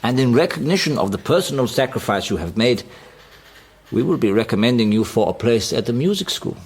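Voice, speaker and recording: An actor playing a stern, formal East German state security officer in a radio drama. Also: male voice, medium pitch, very animated, brisk, husky. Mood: officious.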